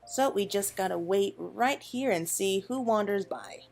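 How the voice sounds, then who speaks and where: Gamer girl doing a chatty playthrough, gets gushy and excited, game music playing behind her. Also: medium pitch, a little slow, creaky. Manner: excitable, gushing, reads game dialogue aloud. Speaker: gaming channel host. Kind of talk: casual game playthrough commentary.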